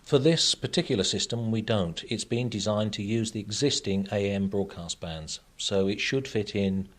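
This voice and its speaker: Male technical expert, slightly low pitch, measured and factual interview delivery. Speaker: radio technology expert guest. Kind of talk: explanatory interview answers.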